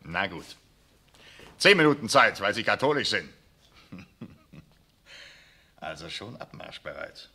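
An actor playing a coarse, bullying SS sergeant who barks orders and sneers in a 1960s radio drama. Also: male voice, sits low, sing-song, measured pace, pauses often, sharp tone, breathy edge, full character voice. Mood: mocking. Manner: barking orders, sneering laughs. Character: brutal mocking male SS sergeant, coarse bully. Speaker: arresting officer.

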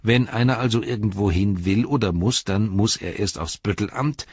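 Man reading as a nervous, complaining hobbit constable, high and husky. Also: moderate pace, no echo, lo-fi sound. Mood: grumbling. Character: timid, grumbling young male hobbit constable.

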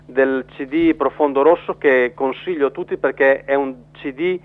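Over a thin, tinny phone connection, a man answers as an interview guest. His voice is mid-pitched, and he explains in a halting way, often doubling back on words. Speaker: metalworker, journalist and documentary co-director, interview guest. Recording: narrow, tinny phone line.

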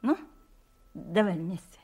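A woman's voice: lowish voice, lively intonation, slow pace, heavy background noise. A woman playing a patient, affectionate mother instructing her young son in a radio play. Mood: gentle, encouraging. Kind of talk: 1970s radio play acting.

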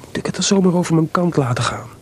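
An actor playing a proud, bitter, jealous composer husband in a radio play, grumbling and sardonic in an intimate way. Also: male voice, on the high side, quick pace, a little mellow, a little hiss or hum, close to an everyday voice. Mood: indignant grumbling. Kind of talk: Dutch radio play acting.

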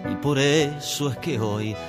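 A man performs an anxious older man in a satirical radio sketch. His low voice hesitates and rambles through fearful excuses and repeated tag questions, over loud music. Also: unhurried, close to an everyday voice.

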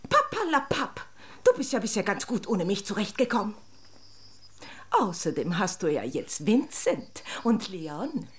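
An actress playing a haughty, dramatic but affectionate toy princess in a children's radio drama. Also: female voice, medium pitch, wildly swooping pitch, unhurried. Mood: haughty, offended. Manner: pompous, dramatic, exclaiming and lamenting.

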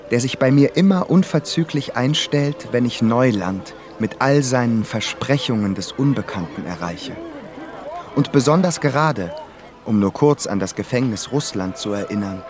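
An actor in a radio drama playing a wry, reflective émigré composer narrating a travel diary. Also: male voice, medium pitch, lightly acted. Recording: prominent music behind the voice.

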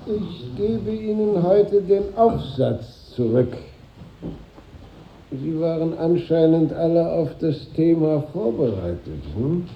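An actor playing a measured, knowing schoolmaster who lectures his pupils formally in a 1950s German radio drama. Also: fairly high pitch, very animated, vocal fry, full character voice. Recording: echoey classroom sound, dull, noisy old radio recording.

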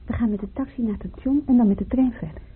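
An actress playing a caring, practical woman in a radio play, soothing and coaxing in a husky voice. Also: a little high, fast talker, a little hiss or hum, lightly acted. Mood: reassuring. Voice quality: husky, creaky. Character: caring, practical woman reassuring a fragile companion. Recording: very narrow, tinny radio play.

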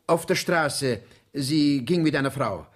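A jittery gentleman being questioned by police in an old radio crime drama. His husky, mid-pitched voice hesitates and stumbles, staying polite while he dodges. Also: animated, unhurried. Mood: hesitant, evasive. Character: nervous, evasive gentleman under police questioning. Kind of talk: 1970s German radio play acting.

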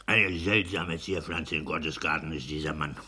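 An actor playing a pompous, domineering clerk and husband in a 1960s German radio play, scolding and self-satisfied. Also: male voice, rumbling low, fairly even intonation, normal speed, bright, forceful tone, a little hiss or hum, full character voice. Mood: scolding, blustering.

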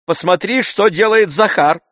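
Man acting an indignant, high-pitched querulous gentleman. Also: normal speed, no echo, studio quality. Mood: exasperated. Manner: indignant, whining exclamations. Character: hot-tempered idle gentleman, querulous master of the house.

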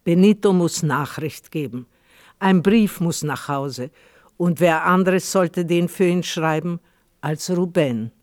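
A man's voice: on the high side, slow pace. A man narrating a scripted radio drama as its storyteller. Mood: measured narration. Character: male storyteller of a radio play.

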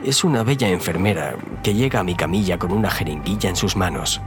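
A man's voice: deep voice, fairly quick, music in the background, lightly acted. A man playing an injured, frightened narrator telling his own horror story in audio fiction. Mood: pained, tense. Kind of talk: scripted first-person horror narration.

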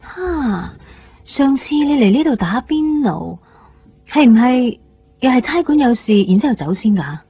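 An actress playing a gentle, caring young woman in a Cantonese radio drama, with a soft, reflective manner. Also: sits high, very animated, a little slow, breathy edge, heavily acted. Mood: caring, questioning. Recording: dull band-limited radio broadcast, music behind.

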